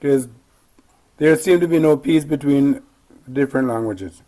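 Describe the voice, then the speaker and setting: A man in the audience asks questions in a halting way and keeps restarting his sentences. His voice is dark and mellow in tone. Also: mid-range voice. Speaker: audience member asking questions.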